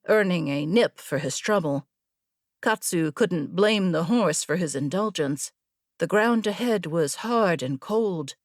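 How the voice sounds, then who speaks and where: A woman reading scripted fiction as an audiobook narrator, in a measured, deliberate way. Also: lowish voice, dry recording, clean studio sound.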